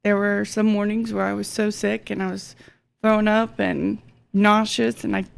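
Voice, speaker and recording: Creaky-voiced young woman chatting. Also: medium pitch, flat delivery, moderate pace. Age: 20s. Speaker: podcast guest. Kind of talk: casual chat.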